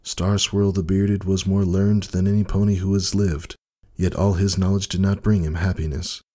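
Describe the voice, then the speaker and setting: Guy doing an old wise pony stallion, low husky mellow voice, formal n measured, storybook style. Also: level tone. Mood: grave, measured. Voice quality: husky, soft, dark. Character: weary, wise old male pony, gentle and principled.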